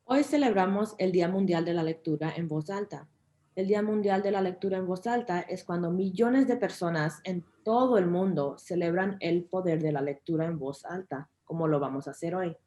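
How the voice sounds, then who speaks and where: A woman's voice: on the low side, quick pace, dark tone. A woman working as interpreter for a children's reading program, delivering a scripted translation. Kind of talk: scripted translation of a welcome talk.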